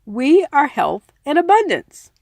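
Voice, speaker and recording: Slow-paced woman reading, creaky higher voice. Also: big pitch swings, a little mellow, no room sound. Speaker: reader and commentator. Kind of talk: audiobook reading with informal asides.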